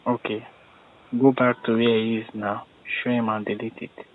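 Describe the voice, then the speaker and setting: A man's voice: on the low side, little pitch movement, normal speed. A man with a Nigerian accent speaks casually in short, probing questions and instructions. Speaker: questioner in a private phone conversation. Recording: muffled lo-fi recorded phone call.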